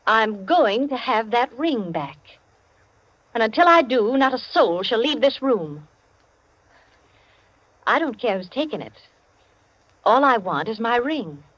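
An actress playing an imperious, determined society hostess in a 1940s radio drama, commanding and measured in manner. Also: female voice, fairly high pitch, fairly bright tone. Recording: muffled vintage 1940s radio recording.

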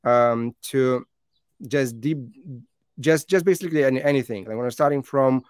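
A man in his thirties, a native Lithuanian speaker, gives a conference talk over slides, halting with many fillers and restarts. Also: medium pitch, clear, resonant tone, dry recording, studio quality.

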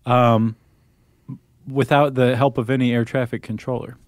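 A man's voice: medium pitch, flat delivery, normal speed, dry recording. American man chatting on a podcast, dry sound. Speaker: podcast co-host. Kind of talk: casual conversational podcast chat.

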